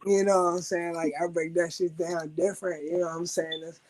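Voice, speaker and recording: A man from Washington, D.C. with a raspy voice, talking about his music as a guest in a casual, laid-back interview full of filler phrases. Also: on the high side, little pitch movement, fairly quick, a little mellow, low-quality recording. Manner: laid-back, loose, full of "you know what I'm saying". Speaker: rapper guest.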